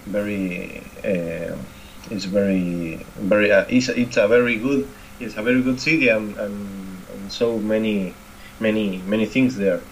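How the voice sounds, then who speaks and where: A man in his twenties from Argentina with an Argentine Spanish accent, chatting casually and haltingly in English as a second language, often repeating words. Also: lowish voice, fairly even intonation, gravelly. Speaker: English learner in conversation practice. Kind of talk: casual chat. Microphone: online voice call. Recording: noisy online call.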